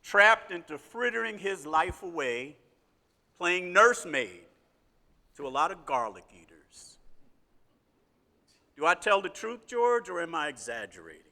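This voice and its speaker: A domineering, greedy old banker on a theater stage. His voice is very bright and a little high, and it rings out into an echoey hall. He speaks with a blustering, commanding edge. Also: sing-song, unhurried, long pauses, exaggerated voice. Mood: scheming. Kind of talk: live radio play acting.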